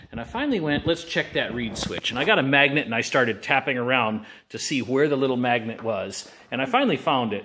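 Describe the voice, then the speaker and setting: A man's voice: medium pitch, fairly bright tone. A man at a home table filming a casual how-to on his phone, talking in a folksy, rambling way with jokey asides. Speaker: hobbyist showing a laptop repair. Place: home dining room at a table.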